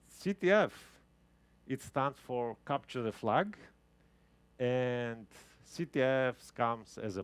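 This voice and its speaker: Man, 30s, lowish voice, casual live tech talk, keeps restarting sentences. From Greece. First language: Greek. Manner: casual, explanatory, with frequent restarts. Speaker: guest speaker. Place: talk venue with a live audience.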